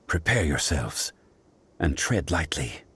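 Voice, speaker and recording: A man voicing a composed, grave and dignified ancient god in a video game, speaking in a formal, measured, authoritative way. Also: very deep voice, very animated, fairly bright tone, slight background noise, full character voice. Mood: calm, commanding. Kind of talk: video game character acting.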